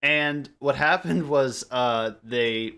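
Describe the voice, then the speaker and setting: A young man chatting casually on a group podcast, telling stories in a halting, rambling way and often repeating his phrases. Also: mid-range voice, pushed and bright, clean tone. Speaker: podcast member. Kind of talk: casual group chat storytelling.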